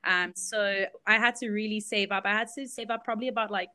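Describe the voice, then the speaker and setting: Belgian-born woman, 30s, chatting candidly about her life, lots of ums and little laughs, mid-pitched voice. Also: fairly even intonation, fairly quick, pushed and bright. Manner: candid storytelling with frequent fillers and light laughs. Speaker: guest, business founder. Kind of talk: casual interview chat.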